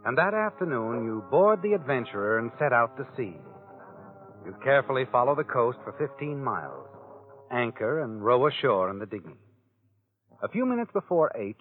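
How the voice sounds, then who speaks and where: A man's voice: medium pitch, natural voice. Sardonic, taunting old-radio narrator played by a man, swooping delivery. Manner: taunting, insinuating second-person storytelling. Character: sardonic, all-knowing, taunting male narrator of crime tales. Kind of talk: 1940s radio crime drama narration. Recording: tinny old radio broadcast with music.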